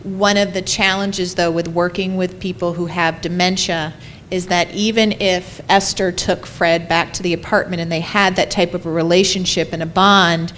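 A woman's voice: lowish voice, flat delivery, moderate pace, fairly bright tone, a little hiss or hum. A woman administrator speaking hesitantly in a group discussion, with many ums. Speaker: care facility administrator.

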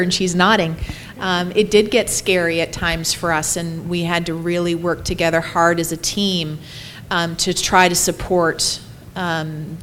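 Woman on a panel, kinda low voice, stops and starts, low rumble in background. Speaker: panel presenter, care staff leader. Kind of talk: answering audience questions in a panel discussion. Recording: steady loud background noise, low rumble.

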